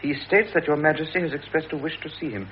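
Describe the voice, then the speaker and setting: A man's voice: fairly high pitch, fast talker, natural voice. An actor playing a proud, stern king in a vintage radio drama. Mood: musing. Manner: regal, imperious. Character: proud, stern French king demanding obedience. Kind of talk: 1950s British radio drama acting. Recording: muffled vintage radio recording, noisy.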